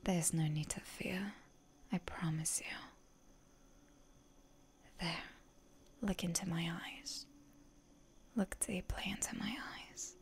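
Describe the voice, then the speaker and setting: Woman doing slow whispery vampire noblewoman for asmr roleplay, low and creaky, soothing and seductive. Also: steady tone. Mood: reassuring, seductive. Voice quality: breathy whisper. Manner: slow, genteel, coaxing.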